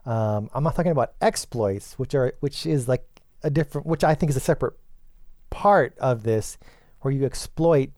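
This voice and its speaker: Mellow-voiced man, a bit hoarse. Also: medium pitch, normal speed, no room sound, studio quality. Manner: hesitant, lots of fillers and restarts. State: hoarse and congested after illness. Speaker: podcast co-host. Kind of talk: casual podcast chat.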